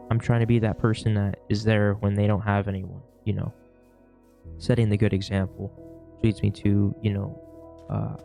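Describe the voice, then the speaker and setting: Young man, sick and losing his voice, gravelly, dark and flat, rambling vlog talk, faint music behind. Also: lowish voice. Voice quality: gravelly, worn voice. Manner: rambling, with many fillers and restarts. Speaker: vlogger; shift manager at work. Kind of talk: casual talking-to-camera vlog.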